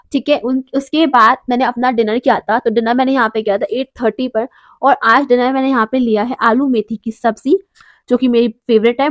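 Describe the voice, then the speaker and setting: A young woman in her twenties talking through her daily routine in a chatty, encouraging way, filmed at home by a camera in front of her. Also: on the high side. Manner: chatty, encouraging, keeps saying "theek hai". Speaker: vlogger. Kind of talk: daily routine vlog, motivational chat. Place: small room at home, sitting on bed.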